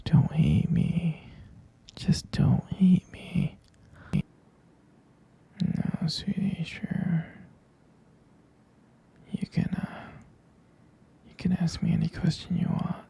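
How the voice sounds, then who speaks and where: A man acts out an obsessive, lovesick captor in an intimate ASMR roleplay. The voice is a very dark, husky whisper full of heavy creak. He coaxes slowly and possessively, tender with an underlying menace. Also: on the high side, exaggerated voice. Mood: coaxing, possessive. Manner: slow, coaxing, repetitive, possessive endearments.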